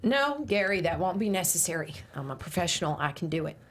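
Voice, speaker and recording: A woman's voice: lowish voice, sing-song, fast, slight background noise. A woman playing a proud, wounded, assertive conservative radio host and mother at a script table read. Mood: defensive, indignant. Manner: assertive, polished on-air patter.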